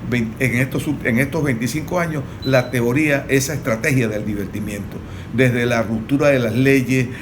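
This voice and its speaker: A Venezuelan man speaking as an analyst on a political panel, explaining in a didactic, measured way. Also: medium pitch. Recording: clearly noisy recording.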